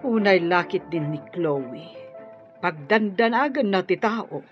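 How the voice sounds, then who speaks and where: Worried wife, dull radio audio. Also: female voice, lowish voice, lively intonation, slow pace, over music. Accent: Ilocano. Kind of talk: Filipino radio drama acting.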